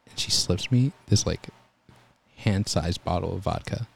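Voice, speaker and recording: A young man telling EMT stories in a relaxed, calm voice during a casual chat. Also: low-pitched, a little slow, gravelly, a little hiss or hum. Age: young adult. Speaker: guest, EMT volunteer.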